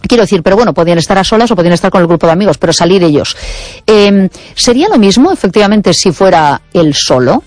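A woman hosting a radio program, asking probing questions and filling pauses with short acknowledgments. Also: mid-range voice, animated, a little mellow, no room sound, soft background music. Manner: probing, many ujú backchannels. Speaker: radio host. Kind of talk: radio interview.

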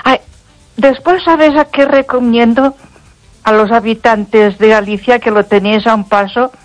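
A woman's voice: a little high, a little slow, soft background music, poor audio. An older woman with an accent from Spain, a radio caller chatting casually and sometimes searching for words. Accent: Spanish (Spain). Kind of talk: casual chat.

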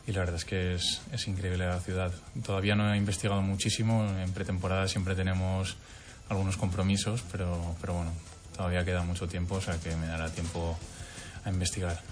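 A young man from Valencia with an accent from Spain, a basketball player giving informal press answers. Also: deep voice. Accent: Spanish, from Spain. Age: young adult.

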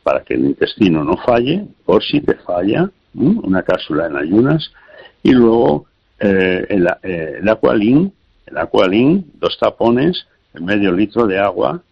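A man's voice: low-pitched, big pitch swings, moderate pace, creaky, breathy edge, lo-fi sound. A man giving health advice on a call-in radio show, hesitant and repeating small words as he explains.

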